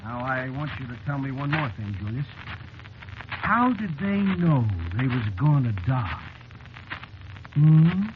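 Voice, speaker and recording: A man's voice: mid-range voice, expressive, a little slow, long pauses, close to an everyday voice. An actor playing a skeptical, needling male license inspector with an American accent and sardonic, colloquial manner, in vintage radio drama style. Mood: probing. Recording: muffled, noisy old radio recording.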